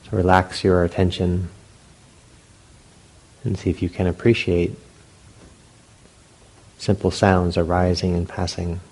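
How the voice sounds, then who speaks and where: A man leading a guided meditation as a teacher, speaking gently and unhurriedly in short phrases with long pauses. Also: low-pitched, level tone, rough recording.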